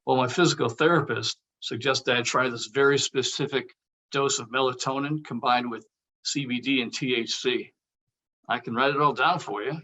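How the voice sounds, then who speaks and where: A man acting a bright-voiced, teasing older buddy. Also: mid-range voice, steady tone, normal speed, low-quality recording. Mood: concerned. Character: teasing, caring older male actor, old buddy. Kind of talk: casual table read of a comedy-drama script.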